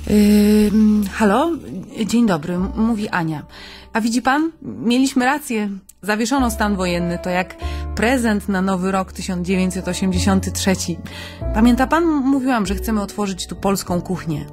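An actress plays a friendly émigré Polish woman in a radio play, her voice medium in pitch. She talks gently and informally, with small hesitations. Music plays clearly behind her. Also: moderate pace, natural voice. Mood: friendly, upbeat. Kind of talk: naturalistic conversational radio drama.

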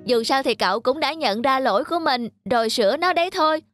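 A very high, childlike voice plays an earnest young friend in a children's cartoon. The phrasing is simple and sincere, like a gentle moral tale, with clearly audible music underneath. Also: female voice, expressive, quick pace. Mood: forgiving, sincere. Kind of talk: Vietnamese children's cartoon dubbing.

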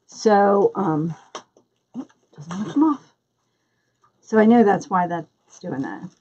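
A woman with a Philadelphia accent talks slowly through a live craft demonstration, her voice a little husky. She chats along and greets viewers by name between steps. The recording sounds dull and lo-fi. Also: mid-range voice, expressive, darkish tone. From Philadelphia area, US. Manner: chatty, greets viewers by name while working. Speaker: craft tutorial host.

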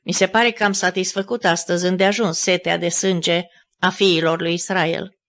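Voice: medium pitch, fairly even intonation, lightly acted. A reader voicing Pilate as a sick, feverish Roman governor who moans weakly and then raves wildly, in an audiobook. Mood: weak, bitter. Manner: weak and moaning, then wild shouting. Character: dying feverish male Roman governor, raving.

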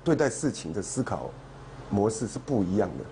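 Halting middle-aged Taiwanese man. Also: lowish voice, animated. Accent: Taiwanese Mandarin. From southern Taiwan. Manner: halting, short phrases. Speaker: film director. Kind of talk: reflective interview talk. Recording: loud music and crowd noise behind.

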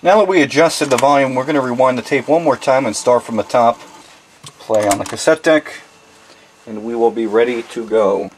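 A man's voice: mid-range voice, normal speed, poor audio. A man giving a methodical step-by-step tutorial at his home desk, talking plainly as he works through the steps. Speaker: tutorial host. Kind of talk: step-by-step how-to demonstration. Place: home desk with computer and mixer.

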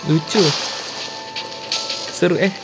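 A man's voice: very slow, poor audio. A man with an Indonesian accent giving casual game playthrough commentary as a video host. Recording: game music behind the voice.

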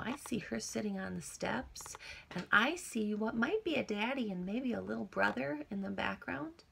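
Middle-aged female storyteller for young kids, unhurried and expressive, with some creak in the voice. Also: fairly high pitch. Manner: expressive, acts out feelings with emphasis and whispers. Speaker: teacher reading to young children. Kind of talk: picture-book read-aloud with chatty asides to children. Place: small cluttered room at home, indoors.

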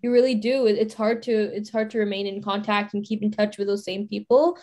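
Uni student girl on a video call, mid pitch, thinks aloud, lots of you knows. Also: steady tone, brisk, a little mellow. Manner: thoughtful, restarting phrases, many "you know". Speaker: university student panelist. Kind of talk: casual panel discussion.

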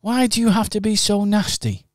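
A man acting in a local radio pantomime, earnest and expressive in his storytelling. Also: high-pitched, moderate pace, no room sound, studio quality. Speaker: pantomime narrator and actor.

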